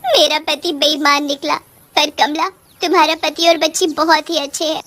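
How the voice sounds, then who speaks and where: A woman's voice: sits high, level tone, normal speed, a bit bright. A woman voicing a fussy, indignant female witch ghost in a cartoon story, with a scolding, complaining manner. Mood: reflective.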